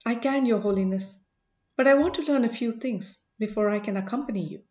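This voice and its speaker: A woman's voice: on the high side, fairly quick, mellow, echoey room, dull audio, close to an everyday voice. A woman voicing a respectful but wary man in an audiobook, deferential yet insistent in tone. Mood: wary, challenging. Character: respectful, wary man questioning his holy elder. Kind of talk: audiobook character dialogue.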